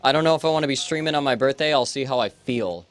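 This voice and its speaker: Twenty-something guy streamer rambling chill, lots of um and like, soft music behind. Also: medium pitch, fast talker. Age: 20s. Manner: relaxed, rambling, many fillers. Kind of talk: casual chat on a livestream.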